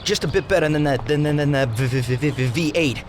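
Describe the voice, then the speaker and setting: An actor playing an eager, nervous American mob mechanic who stutters heavily, in a game cutscene. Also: male voice, on the high side, brisk, a little hiss or hum, close to an everyday voice. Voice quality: heavy stutter.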